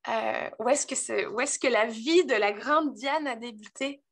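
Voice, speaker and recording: A woman's voice: a little high, measured pace, fairly bright tone. A woman hosting a virtual interview, reading a prepared introduction with many hesitations. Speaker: interview host.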